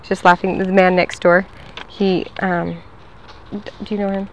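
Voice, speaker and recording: Chatty woman pitching pest control at a doorstep. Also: level tone, measured pace, muffled recording, a little hiss or hum. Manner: friendly, upbeat, talkative. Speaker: door-to-door pest control salesperson. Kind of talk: sales pitch at a front door. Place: outdoors at a house door.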